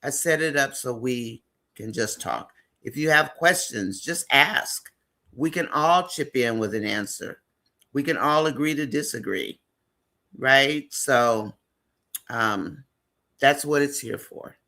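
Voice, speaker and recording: A man hosts a relaxed live chat stream, talking in a loose, rambling way full of fillers. His voice has a bright, forceful edge and sits at an ordinary pitch. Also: slow. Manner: chatty and rambling, with frequent fillers.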